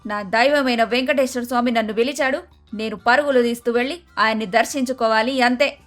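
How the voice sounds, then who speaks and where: Woman doing a bossy middle aged mother-in-law for a cartoon story, high voice, scolding, music under it. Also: fairly quick, a bit bright, lightly acted. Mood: impatient, stubborn. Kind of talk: dramatised moral story voice acting.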